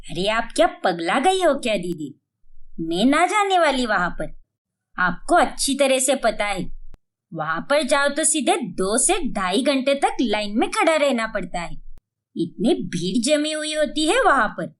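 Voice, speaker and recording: An ageing, stubborn woman from a family drama tale, acted out by a female narrator. She protests bluntly and with exasperation, her intonation very animated. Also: sits high, unhurried. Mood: exasperated refusal.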